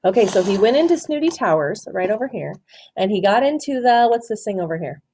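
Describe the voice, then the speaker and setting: A woman reads a children's storybook in an animated voice that rises and falls freely. Her pitch sits in a middle range for a woman. She is expressive and interactive, putting questions to young listeners as a teacher would. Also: slight background noise. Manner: expressive, asks questions and pauses for answers. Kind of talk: interactive picture-book read-aloud to children.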